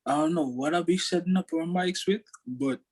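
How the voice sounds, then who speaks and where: A young man chatting casually in a slightly squeaky, husky voice, animated and slangy, often repeating himself. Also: moderate pace. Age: young adult. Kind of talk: casual chat.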